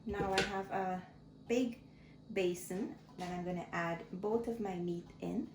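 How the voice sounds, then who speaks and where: A woman hosting a cooking channel, explaining each step in a slow, friendly way from her home kitchen. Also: fairly low pitch, steady tone, very noisy. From Guyana. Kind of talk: casual cooking tutorial. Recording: echoey kitchen with clattering pots.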